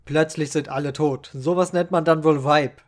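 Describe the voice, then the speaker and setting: A man's voice: fairly high pitch, steady tone, moderate pace. A man telling a comic first-person gaming story in colloquial, slangy language. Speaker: storyteller and channel creator.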